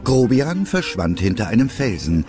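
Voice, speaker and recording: A man's voice: lowish voice, big pitch swings, moderate pace, music in the background. A man narrating a scripted audio drama as its storyteller.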